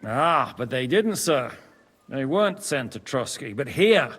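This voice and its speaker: An actor playing a blunt, wry former bandit in a medieval video game cutscene, speaking with frank, sardonic directness. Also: male voice, medium pitch, sing-song, measured pace, a bit bright, husky, heavily acted. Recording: long hall reverb.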